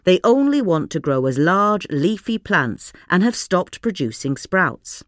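A woman's voice: lowish voice, very animated, measured pace, no room sound, clean studio sound. A woman reading a scripted article aloud as a narrator.

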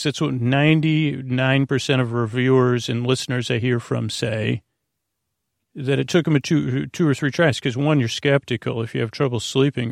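Gravelly, rambling male bedtime podcast host. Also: medium pitch, no room sound, studio quality. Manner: hesitant, halting, full of ums, stammered repeats and trailing tangents. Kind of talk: rambling, meandering bedtime monologue.

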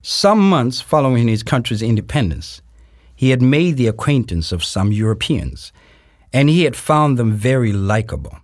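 A man playing a grave narrator of a historical radio play, reading scripted narration in a measured, deliberate, formal way with pauses. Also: lowish voice, a little mellow, no room sound, studio quality. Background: music.

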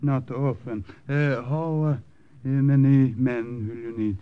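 An actor playing a cautious older Norwegian underground leader, halting and dry, in an old radio drama. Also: male voice, mid-range voice, fairly even intonation, clean tone, close to an everyday voice. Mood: dry, measured. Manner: halting, slow, measured. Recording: dull old radio recording.

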